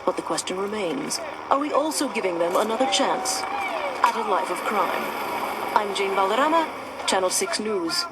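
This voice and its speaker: A woman's voice: mid-range voice, wildly swooping pitch, normal speed. An actress playing a polished female TV field reporter delivering a probing, scripted news report. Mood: probing, formal. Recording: very noisy outdoor street with vehicles.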